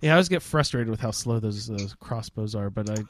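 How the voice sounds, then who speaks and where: A man's voice: on the low side, fairly quick, no room sound. A man chatting casually and enthusiastically as a panelist on a gaming roundtable podcast.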